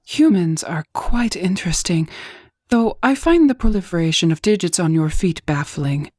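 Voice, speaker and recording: Low, husky pitch-shifted robot. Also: female voice, normal speed, dry recording, studio quality. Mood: detached, monotone. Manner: monotone, detached. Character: artificial robot, cool and detached. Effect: pitch-shifted down robot effect. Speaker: voice actress. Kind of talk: video game voice line.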